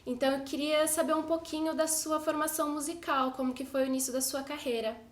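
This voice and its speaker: A Brazilian woman with a high-pitched voice hosts an informal interview. Her Brazilian speech is conversational, and the recording has a noticeable room echo. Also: steady tone, fast. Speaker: interview show co-host. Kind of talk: casual hosted interview.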